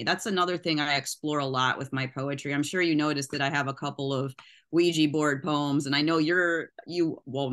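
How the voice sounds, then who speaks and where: A woman's voice: deep voice, fairly bright tone. A middle-aged poet from the US Midwest with a Midwestern accent, talking in a chatty way about her poems and often asking "right?". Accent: Midwestern American. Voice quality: nasal. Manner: chatty, tags lines with "right?". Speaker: poet, guest. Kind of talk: casual interview chat.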